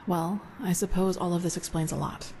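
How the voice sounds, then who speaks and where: Earnest, mellow-voiced fantasy novice. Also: female voice, on the low side, a little slow, a little hiss or hum, poor audio. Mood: pensive. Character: earnest, thoughtful female novice of a holy order. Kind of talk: conversational fantasy game dialogue.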